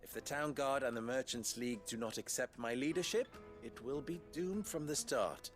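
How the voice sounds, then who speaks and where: An actor playing an upright, wry town leader in a fantasy game, speaking in formal, eloquent dialogue. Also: male voice, medium pitch, moderate pace, soft background music, close to an everyday voice. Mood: firm, measured. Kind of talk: dramatic video game dialogue.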